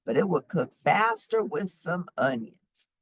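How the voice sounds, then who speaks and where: A woman's voice: on the low side, sing-song, measured pace, husky, no room sound, studio quality, exaggerated voice. A woman voicing a hungry, polite and wily young man in a storybook, coaxing and assured.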